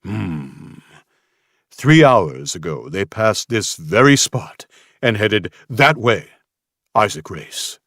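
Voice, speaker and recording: A man as a scruffy eccentric male drifter, audiobook character, sly, erratic, theatrical rhyming, drawn-out phrasing, dry close sound. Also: fairly low pitch, sing-song, a bit bright, dry recording, heavily acted. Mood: secretive, theatrical.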